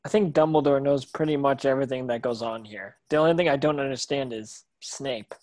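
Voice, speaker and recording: A man's voice: medium pitch, a little fast, darkish tone, no room sound, natural voice. A man plays a brave, curious boy wizard in a fantasy script read. The voice is earnest and questioning, recorded close and dry with hardly any room sound. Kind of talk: table read of a fantasy screenplay.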